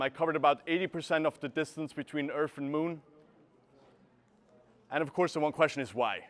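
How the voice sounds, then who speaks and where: A young man from Switzerland, giving an earnest presentation and often pausing to search for words, recorded from a camera across a room. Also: fairly high pitch, a bit bright, smooth. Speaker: speaker. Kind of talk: presentation talk. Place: gallery room with a seated audience.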